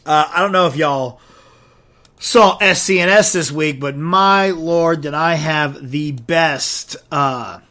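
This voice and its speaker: A man's voice: on the high side, slow. A man hosting his own podcast in a loose, rambling chat, sounding nasal and stuffed up from a head cold. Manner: rambling, relaxed, talks straight to listeners. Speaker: podcast host. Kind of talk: casual solo podcast chat.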